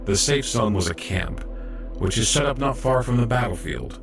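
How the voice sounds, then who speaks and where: A man's voice: medium pitch, animated, normal speed, creaky, music in the background. A man playing an earnest young robot trainee medic who narrates his story in an audio drama. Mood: earnest, reflective. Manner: earnest, explanatory.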